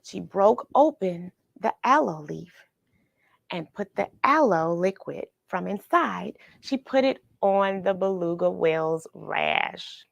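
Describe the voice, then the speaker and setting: A woman telling a children's picture story in an expressive way, pausing for chatty questions to the young listener. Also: lowish voice, creaky, dry recording, highs cut off. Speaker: children's read-along storyteller and host. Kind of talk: animated picture-book read-aloud with questions to young listeners.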